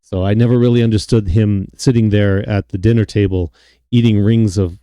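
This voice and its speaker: Hoarse, raspy man with a cold. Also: fairly even intonation, moderate pace, mellow, no echo. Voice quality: deep, raspy. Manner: rambling, self-mocking. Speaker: podcast host. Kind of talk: casual chat.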